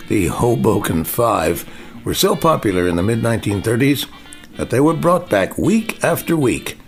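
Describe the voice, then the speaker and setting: An older man, a veteran broadcaster, reading a scripted radio feature in a measured, polished way. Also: lowish voice.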